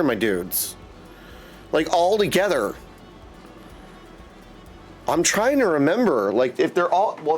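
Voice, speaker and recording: A man with a fairly high, very animated voice talks casually to his livestream viewers. He is effusive and exclaims often, addressing them as dudes, with music playing behind him. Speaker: live streamer and host.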